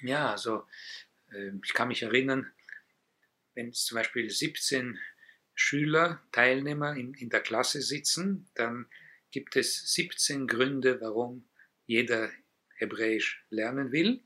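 An older man from Israel, a native Hebrew speaker who is retired and teaches Hebrew, talking in a relaxed, hesitant way as a guest in an interview. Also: medium pitch. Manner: slow, thoughtful, with frequent hesitations. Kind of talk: casual interview talk.

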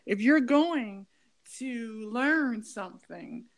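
A woman's voice: a little high, expressive, drawn-out words. A woman hosting an interview, chatty and emphatic, sharing her opinions with a ranting edge. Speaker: podcast host. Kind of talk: casual interview chat.

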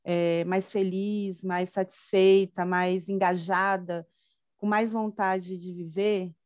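A woman's voice: mid-range voice, little pitch movement, takes it slowly, a little mellow, clean tone, dry recording, clean studio sound. A middle-aged woman from Bahia with a Brazilian accent, chatting casually as an interview guest. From Salvador, Bahia, Brazil. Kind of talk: casual chat.

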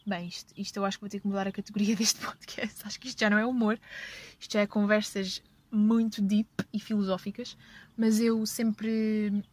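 A woman's voice: mid-range voice, flat delivery, brisk, a little mellow. A young woman with a European Portuguese accent, hosting a podcast and chatting casually from a parked car, laughing often and correcting herself as she goes. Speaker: podcast host. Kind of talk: casual personal storytelling.

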